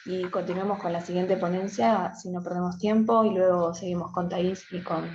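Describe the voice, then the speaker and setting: A woman's voice: lowish voice, fairly even intonation, moderate pace, a little mellow. A woman giving practical instructions in an online meeting, on echoey, dull, slightly noisy audio.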